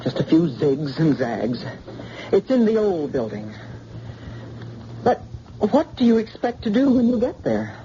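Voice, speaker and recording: A tense radio doctor. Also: female voice, deep voice, sing-song, a little slow, long pauses, mellow. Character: woman doctor held at gunpoint, quick-witted. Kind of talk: 1940s radio acting. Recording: dull old radio recording, faint hiss.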